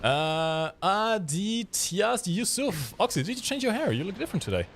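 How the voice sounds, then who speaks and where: A man's voice: high voice, sing-song, moderate pace, a bit bright, faint music behind. A man from Germany with a German accent, hosting a game livestream with casual, explanatory commentary and jokey chat.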